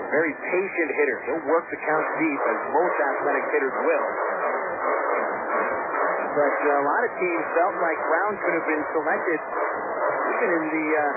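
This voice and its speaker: A man calling baseball play-by-play on the radio in a measured way, mixing pitch calls with player stats. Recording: tinny, narrow-band radio broadcast with loud noise.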